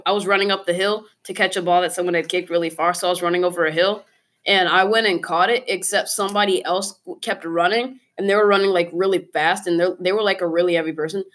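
A woman's voice: on the low side, fairly even intonation, fast talker, fairly bright tone. A young woman co-hosting a podcast, telling a casual story in a rambling, repetitive way. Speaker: podcast co-host.

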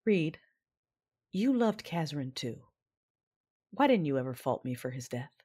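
Low-voiced brooding Sith woman, played by an actress. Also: sing-song, unhurried, dark tone, husky, full character voice. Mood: weighty, intense. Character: brooding Sith woman, grieving yet commanding, narrating her memories. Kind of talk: first-person audio drama narration and dialogue.

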